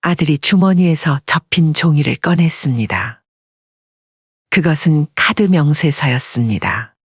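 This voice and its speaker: A woman narrates a scripted family drama as an audiobook. Her voice is husky and mid-pitched. The recording is dry and slightly muffled. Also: a little slow, no room sound. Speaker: story narrator. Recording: dull lo-fi audio.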